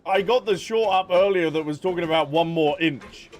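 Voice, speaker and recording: A man's voice: on the high side, moderate pace. A man casually commentating as he live-streams a video game.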